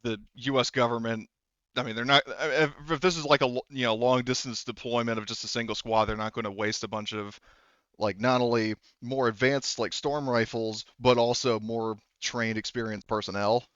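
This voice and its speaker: Smooth-voiced man running a tabletop game. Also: mid-range voice, steady tone, moderate pace, fairly bright tone, no room sound, lo-fi sound. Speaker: game master. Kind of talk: casual tabletop game narration and chat.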